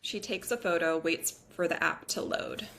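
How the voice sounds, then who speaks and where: Lower-voiced woman reading stage directions. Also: normal speed, gravelly, fairly bright tone, slight background noise. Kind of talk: scripted narration at a table read.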